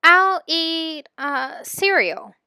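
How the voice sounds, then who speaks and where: A woman voicing a shy, anxious young boy in a storybook, speaking in a halting, reluctant way. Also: extremely high pitch, animated, pushed and bright, no echo, studio quality, pitched above the performer's own voice, full character voice. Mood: nervous.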